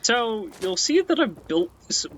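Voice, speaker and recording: A man's voice: very high voice, sing-song, unhurried, a bit bright. A man giving rambling, casual commentary while playing a game, often tacking on "right?" and restarting his sentences. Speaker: let's play host. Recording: muffled with faint background hiss.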